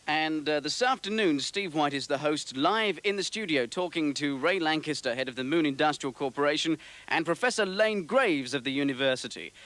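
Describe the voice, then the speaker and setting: A man's voice: fairly high pitch, expressive, normal speed, a bit bright. An actor playing a male radio announcer in a TV drama, reading listings in an upbeat presenter manner. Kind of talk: on-air announcement.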